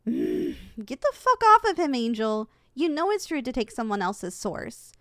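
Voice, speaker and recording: A woman's voice: high voice, big pitch swings, moderate pace, fairly bright tone, no room sound, studio quality. A young woman playing a possessive, easily flustered succubus student in an ASMR audio roleplay, blunt and indignant in manner. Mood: outraged, protective.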